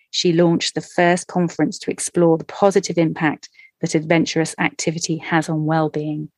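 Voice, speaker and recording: A woman's voice: on the low side, darkish tone, no room sound. A woman hosting a podcast interview, introducing her guest and asking questions with effusive enthusiasm. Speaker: podcast host.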